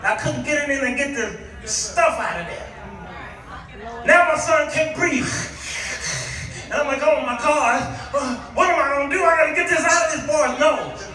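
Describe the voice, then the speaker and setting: A middle-aged American man preaching a humorous, animated storytelling sermon, often throwing questions out to his congregation. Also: extremely high pitch, moderate pace. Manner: animated, declamatory, often calling on the listeners with questions. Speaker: guest preacher. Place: large echoey room with a congregation. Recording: echoey hall, distant mic, audience noise.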